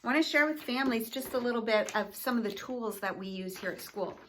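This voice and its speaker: A woman's voice: a little high, a little fast, fairly bright tone, a little hiss or hum, rough recording. A woman educator explaining things to families and children in simple, encouraging, teacherly phrases. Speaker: school educator.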